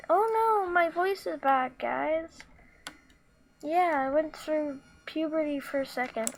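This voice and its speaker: Woman, squeaky voice, rambling, casual tutorial, slow talker. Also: slight background noise, rough recording. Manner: rambling, many fillers and restarts. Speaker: tutorial maker. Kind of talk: casual screen-recorded tutorial.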